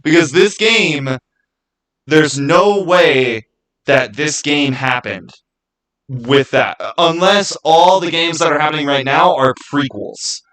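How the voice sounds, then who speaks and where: A man's voice: a little high, slow pace, a bit bright, poor audio. A man streaming live, chatting casually about game lore in an emphatic way and repeating his phrases for stress.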